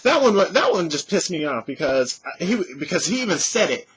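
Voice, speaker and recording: Vlogger in his thirties, animated storyteller with a slightly husky voice, talking straight into a webcam at home. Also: high voice, brisk. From California. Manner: animated, gestures a lot, swears freely. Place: small room at home, indoors. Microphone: webcam at desk distance.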